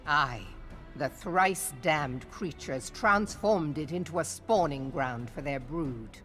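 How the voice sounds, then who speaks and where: A woman's voice: deep voice, takes it slowly, fairly bright tone, soft background music. A woman playing a commanding, blunt admiral in a fantasy video game, speaking sternly and emphatically in salty, archaic phrases. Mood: grim, grave. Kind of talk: scripted video game voice acting.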